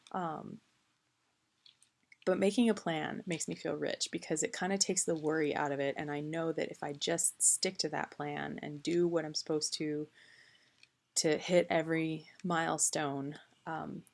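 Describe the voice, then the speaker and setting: A woman in her late thirties speaks in a casual, reflective vlog, pausing often with ums. Her voice sits fairly low and carries a noticeable gravelly fry. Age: 30s. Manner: earnest and reflective, frequent ums. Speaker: vlogger. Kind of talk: casual talk to camera.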